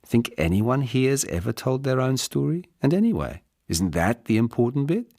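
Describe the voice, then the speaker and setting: A man's voice: mid-range voice, very animated, normal speed, vocal fry, a little mellow, no room sound, clean studio sound, voice pushed up. Man voicing a forthright, brash woman journalist. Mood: wry, challenging.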